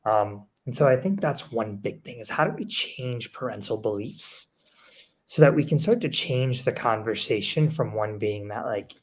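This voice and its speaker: A man's voice: medium pitch, a bit bright. A man speaking as a panelist, making structured points and restating phrases for emphasis. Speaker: panelist, education leader.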